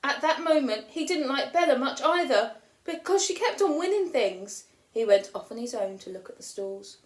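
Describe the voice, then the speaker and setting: A woman teacher reading a children's picture book aloud with expressive storytelling, lifting her voice for the children's lines. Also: high-pitched. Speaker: primary school teacher. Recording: roomy echo.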